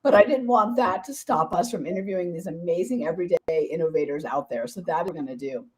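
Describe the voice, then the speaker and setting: A woman hosting a podcast interview, her voice hoarse and partly gone, talking in a bubbly, enthusiastic, chatty way. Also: on the low side, quick pace, a little mellow. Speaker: podcast host.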